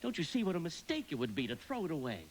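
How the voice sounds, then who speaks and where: An actor playing a gentle, elderly guardian angel in a live radio play, explaining things earnestly. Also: male voice, a little high, huge pitch swings, racing, a little hiss or hum. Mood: solemn.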